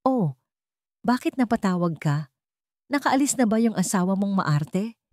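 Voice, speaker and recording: A woman's voice: mid-range voice, lively intonation, a little slow, darkish tone, no room sound, studio quality, lightly acted. A Filipino woman voicing a spiteful, haughty elderly mother-in-law in an audiobook drama, gloating and dismissive. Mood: smug spite.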